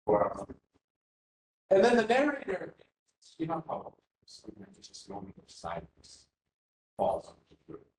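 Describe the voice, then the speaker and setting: A man's voice: a little high, huge pitch swings, unhurried, long pauses, a little hiss or hum. A man from Finland giving an academic workshop talk, hesitant, with many fillers and restarts. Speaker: academic speaker. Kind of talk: conference talk. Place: university seminar room. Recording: roomy hall with faint ambience.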